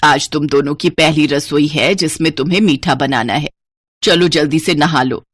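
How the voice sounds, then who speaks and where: A strict, caring older mother-in-law played by a woman in a cartoon tale. Her voice sits lower, measured and admonishing. The recording is close and dry. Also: no echo. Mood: gently admonishing. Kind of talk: acted cartoon story dialogue.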